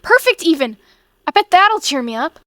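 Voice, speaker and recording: A sulky, earnest teenage schoolgirl heroine, acted by a woman for a comic dub. The voice is high and youthful, with expressive delivery. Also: moderate pace, dry recording, studio quality, full character voice. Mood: moody. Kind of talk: comic fandub voice acting.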